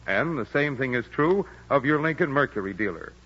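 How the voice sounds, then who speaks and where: Man, 1940s radio commercial announcer, measured and reassuring, long reverb, muffled broadcast. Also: mid-range voice, expressive, fairly bright tone.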